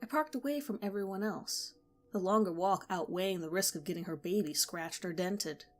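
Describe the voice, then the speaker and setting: Calm woman narrating a spooky tale. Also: medium pitch, normal speed, faint music behind. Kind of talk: scripted narration of a horror story.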